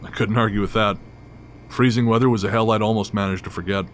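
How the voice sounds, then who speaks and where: A man narrating a scripted first-person horror story in a measured, literary storytelling manner. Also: fairly low pitch, fairly even intonation, a little hiss or hum.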